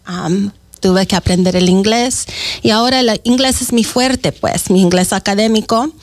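A woman's voice: mid-range voice, moderate pace, slight background noise. A middle-aged woman, speaking as a guest on a radio show, composed with hesitant fillers. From Peru. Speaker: school district parent educator, radio guest. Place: radio studio.

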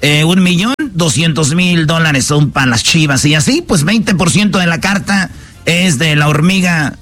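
A Mexican man with a high, light voice hosts a sports talk show. He jokes and teases his co-hosts in loose slang. Faint music plays behind him. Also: normal speed, a bit bright. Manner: playful, teasing, slangy. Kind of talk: joking sports banter.

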